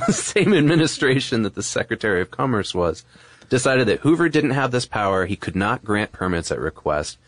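Male podcast co-host, low animated voice, informal conversational explainer, dry close recording. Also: no room sound. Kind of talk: casual conversational history podcast.

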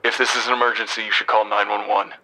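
Rapid-fire, clipped male police operator. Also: fairly low pitch, flat delivery, sharp tone, rough recording. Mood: brisk, indifferent. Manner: clipped, routine, impersonal. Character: brisk, indifferent male police switchboard operator. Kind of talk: audio drama acting.

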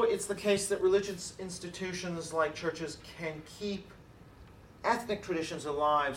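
A man delivers a university lecture in a loose, conversational way, pausing on fillers and restarting phrases. His voice sits a little high and is caught from across an echoing room. A faint hiss runs underneath. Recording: roomy hall recording from far off, faint hiss.

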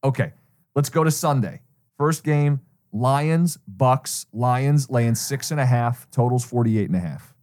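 A man's voice: medium pitch, expressive, unhurried, darkish tone. An American man co-hosting a sports betting show, talking through his analysis in a methodical, explanatory way with plenty of statistics.

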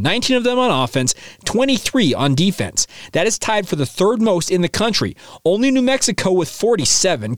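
A man hosting a sports podcast, a little hoarse from a coming sore throat, talking in an excited, chatty way with plenty of fillers and restarts. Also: on the high side, dry recording. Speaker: podcast host. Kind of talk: casual sports talk podcast.

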